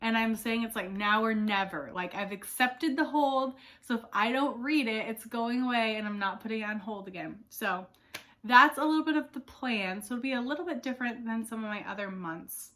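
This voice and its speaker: A woman's voice: on the high side, moderate pace, pushed and bright. A young woman book vlogger chatting casually to camera, her voice not fully back after an illness, rambling with fillers.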